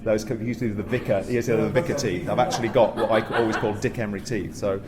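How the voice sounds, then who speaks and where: A man on a live panel telling anecdotes casually, often halting and interrupting himself. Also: fairly low pitch, steady tone, a loud crowd. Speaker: panellist, pantomime performer. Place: theatre, live panel discussion. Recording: live recording, clear background noise.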